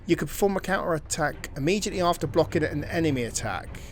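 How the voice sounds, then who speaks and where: A man's voice: fairly high pitch, lively intonation, quick pace, a little hiss or hum. A man giving casual let's-play commentary, musing and thinking aloud, sometimes dropping to a near-whisper. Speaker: gameplay commentator.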